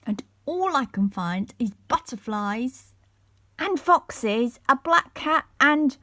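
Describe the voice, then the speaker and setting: A surly little storybook man, played by a woman reader. He grumbles his way through each line, in a fairly high voice with a dry, close sound. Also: lively intonation, slow, bright, forceful tone, no room sound, heavily acted.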